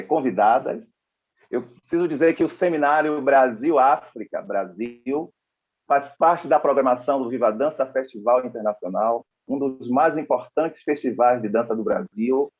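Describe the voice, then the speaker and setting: A young man from Salvador, giving a formal, measured introduction as panel moderator, with pauses and restarts. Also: medium pitch, darkish tone, husky, highs cut off. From Salvador, Bahia, Brazil.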